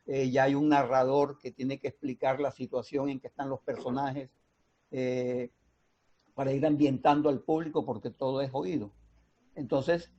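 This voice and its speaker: A man with a Colombian Caribbean accent explaining things in a didactic, deliberate way with pauses, as in an interview. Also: a little high, mellow. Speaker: radio drama writer and mentor. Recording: dull, muffled lo-fi sound.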